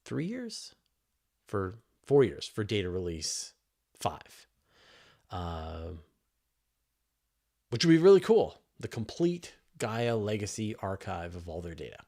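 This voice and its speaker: A man with a fairly low voice hosts a casual science Q&A livestream. He talks conversationally, leans hard on key words, and often restarts a thought to put it another way. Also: huge pitch swings, slow pace, creaky, long pauses, dark tone. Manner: emphatic, conversational, often rephrasing mid-thought. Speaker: host of a science question show; science journalist. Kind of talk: casual livestream Q&A, reading questions and answering off the cuff.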